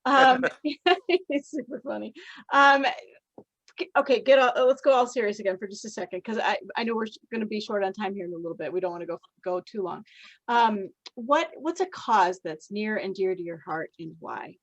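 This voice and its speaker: A woman's voice: mid-range voice, normal speed, a bit bright, no room sound. A woman hosting an interview in a casual, chatty style, with slightly lo-fi sound. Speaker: interviewer, host.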